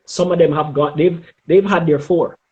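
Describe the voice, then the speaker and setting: A middle-aged man with a Jamaican accent giving advice in an interview, speaking emphatically and deliberately and often checking in with "okay?". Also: fairly high pitch, steady tone, darkish tone, highs cut off. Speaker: interview guest, former air traffic controller.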